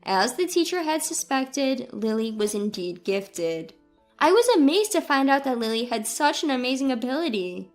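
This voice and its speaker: A woman's voice: high-pitched, lively intonation, moderate pace, soft background music. A woman reading a scripted first-person family story as its narrator.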